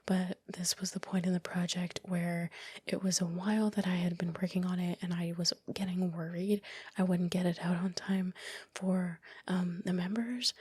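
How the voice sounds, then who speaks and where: A woman whispers a casual, rambling, self-critical ASMR commentary on her own work in a breathy, unvoiced voice, close to the microphone. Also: fairly low pitch, dry recording. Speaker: ASMR video creator narrating own work. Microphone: close microphone, dry voice-over.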